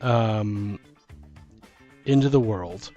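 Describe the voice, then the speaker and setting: A man in a casual chat about tabletop gaming as co-host and game master, rambling and halting as he thinks aloud. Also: lowish voice, dark tone, dull audio. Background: background music.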